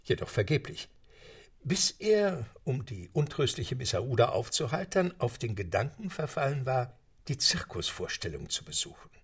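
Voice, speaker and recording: An actor narrating a radio play as an English butler, in a measured storytelling tone. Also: male voice, mid-range voice, big pitch swings, slightly rough. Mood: calm.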